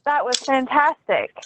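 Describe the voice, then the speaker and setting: A woman's voice: high-pitched, noisy background. A woman hosting a fan discussion over a video call, speaking in an enthusiastic, casual way.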